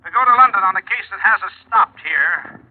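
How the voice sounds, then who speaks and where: Casting: businesslike government narcotics agent, vintage radio crime drama, lively pitch, thin band-limited sound. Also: male voice, fairly quick. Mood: brisk, urgent. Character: businesslike male federal narcotics agent on a case. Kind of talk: 1940s-50s radio drama acting. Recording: tinny, band-limited old radio recording with noise.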